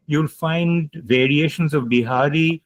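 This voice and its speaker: A man's voice: fairly high pitch, unhurried, no room sound. A man giving an online lecture, explaining points emphatically, repeating key ideas and taking questions. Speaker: guest lecturer.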